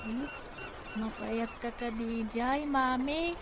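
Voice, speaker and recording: A woman voicing a curious little child in a radio drama, with drawn-out childlike questions. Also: fairly high pitch, noisy background. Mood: curious, plaintive.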